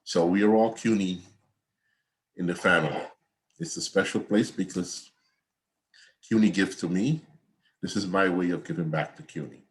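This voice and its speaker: A man's voice: low-pitched, normal speed, pauses often. An older man from Guyana, an accounting professor giving a conversational, rambling online class lecture over a video call from home. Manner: conversational, repetitive, digressive.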